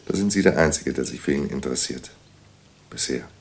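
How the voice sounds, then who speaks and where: An actor playing a matter-of-fact, dryly wry psychiatric clinic doctor in a naturalistic radio play. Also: male voice, extremely low pitch, expressive, fairly quick, a little mellow. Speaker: actor in an audio drama. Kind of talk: naturalistic audio drama dialogue.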